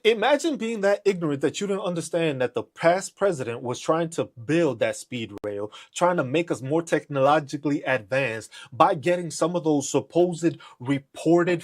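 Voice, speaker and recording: A man hosting a podcast, ranting in a profane, mocking and confrontational way. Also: fairly high pitch. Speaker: podcast host. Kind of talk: live political rant.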